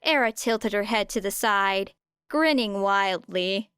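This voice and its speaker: Female narrator for fiction audiobook, mid-pitched, crisp and smooth, dry close sound. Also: slow pace, fairly bright tone, no echo. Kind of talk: scripted story narration.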